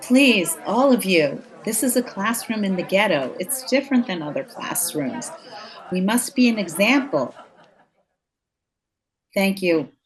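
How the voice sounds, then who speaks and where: Woman acting an earnest wife, very animated intonation. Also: medium pitch, unhurried, natural voice, rough recording. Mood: firm, earnest. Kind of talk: stage drama acting.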